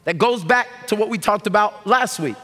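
A man in his thirties preaching a sermon from a large church stage, animated and shouting call-and-response lines to the congregation. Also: high-pitched, normal speed, faint music behind. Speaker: pastor. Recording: long reverb of a large hall.

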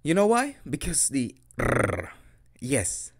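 A man's voice: fairly high pitch, wildly swooping pitch, slow pace, creaky. A young man from Mexico with a Mexican accent, a native Spanish speaker, improvising a dorky, hesitant roleplay as a boyfriend giving a casual language lesson. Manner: giggly, dorky, hesitant, self-deprecating. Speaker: audio roleplay creator playing a boyfriend teaching Spanish.

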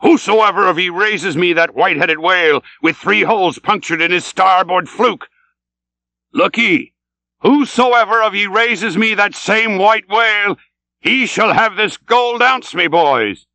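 A man's voice: sits high, unhurried, vocal fry, pushed and bright, no room sound, pitched above the performer's own voice, heavily acted. A man voicing an old, obsessed whaling captain in an audiobook, fierce and commanding, with vehement, archaic, declamatory speech. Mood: commanding, excited.

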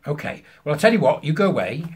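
Husky, high-pitched British man in his fifties. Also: very animated, fast talker. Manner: emphatic, acting out both sides of a conversation. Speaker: vlogger. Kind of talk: animated anecdote told to camera. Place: small furnished room at home, indoors.

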